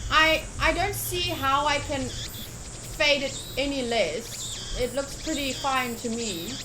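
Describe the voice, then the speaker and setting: Slow-paced, high-voiced woman streaming. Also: background noise. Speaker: game streamer. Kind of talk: casual livestream playthrough, chatting and reading text aloud. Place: livestream setup.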